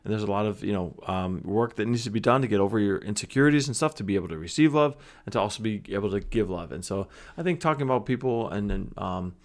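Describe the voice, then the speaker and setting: Low-voiced man, hesitant and measured. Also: expressive. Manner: hesitant, many fillers and restarts. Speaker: reaction video host. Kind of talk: casual commentary.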